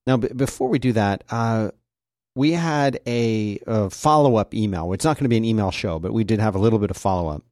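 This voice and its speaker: A man's voice: on the low side, brisk, darkish tone, no room sound, clean studio sound. A man co-hosting a podcast in casual chat, rambling with frequent uhs and restarts. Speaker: podcast co-host.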